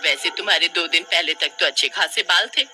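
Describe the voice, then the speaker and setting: A man's voice: a little high, sharp tone, faint music behind. A man voicing a gossipy, taunting village woman neighbour in a cartoon story. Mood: mocking. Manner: taunting, drawn-out, nosy.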